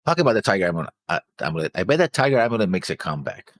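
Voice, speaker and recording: A man's voice: deep voice, very animated, rapid-fire, no echo. A man thinking aloud in a casual discussion, hesitant and full of theories. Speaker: anime reaction show co-host.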